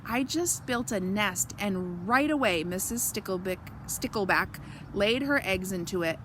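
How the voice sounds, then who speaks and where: A woman voicing a proud, contented father fish in a picture story, giving chipper, unhurried replies. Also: medium pitch, expressive, fairly bright tone, a little hiss or hum.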